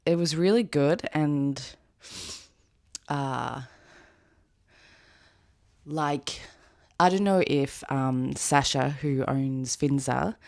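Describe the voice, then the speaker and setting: A woman with a raspy, hoarse voice, chatting casually in a rambling vlog with fillers and laughs. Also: extremely low pitch, extremely slow, long pauses, a little mellow, dry recording, clean studio sound. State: hoarse after an event. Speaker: knitwear designer vlogger. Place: outdoors with birds nearby.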